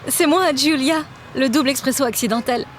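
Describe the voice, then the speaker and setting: An actress playing a sweet, grateful young university student in an audio drama, polite and friendly. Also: female voice, high voice, fairly quick, a little hiss or hum, close to an everyday voice.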